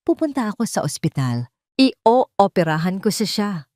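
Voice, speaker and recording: A woman's voice: mid-range voice, very animated, a little slow, darkish tone, no echo, studio quality, pitched above the performer's own voice. A woman voicing an anguished, determined male doctor in an audio drama, with an intense, emotional manner.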